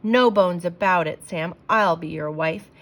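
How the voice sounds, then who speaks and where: A woman's voice: on the low side, measured pace, fairly bright tone. A woman voicing a lonely, kind-hearted lady dog owner from a storybook, speaking in an earnest, emotive way. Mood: happy.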